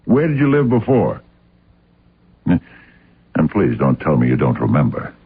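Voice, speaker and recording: An actor playing a hard-nosed, relentless investigator in a radio drama, questioning in a probing, accusatory, clipped way. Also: male voice, rumbling low, huge pitch swings, unhurried, breathy edge, full character voice. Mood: accusing. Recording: muffled narrow-band old radio sound.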